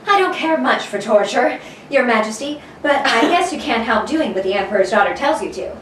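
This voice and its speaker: A woman's voice: fairly high pitch, animated, brisk, echoey room, far from the mic, a little hiss or hum, natural voice, low-quality recording. A woman reading a devoted young heroine. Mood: coy. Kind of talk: comic table read of an old radio serial.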